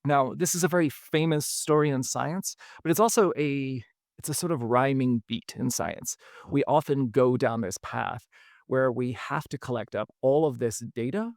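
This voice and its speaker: Gravelly man telling a story casually. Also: medium pitch, moderate pace, no room sound, studio quality. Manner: conversational, relaxed, with frequent fillers. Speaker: podcast host.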